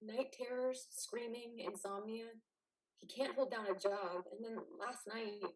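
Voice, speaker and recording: A woman playing the exhausted, fiercely protective partner of a troubled veteran in a play reading, blunt and biting, with a husky voice. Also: on the high side, fairly even intonation, unhurried, poor audio. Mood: weary. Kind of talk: play reading, modern drama.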